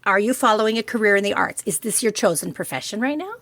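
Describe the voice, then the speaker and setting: A woman's voice: mid-range voice, lively intonation, fast talker, fairly bright tone, dry recording. A middle-aged woman co-hosting a podcast with her son, asking questions in a playful, teasing way. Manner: playful, teasing, easily laughing. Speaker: podcast co-host. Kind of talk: casual podcast conversation.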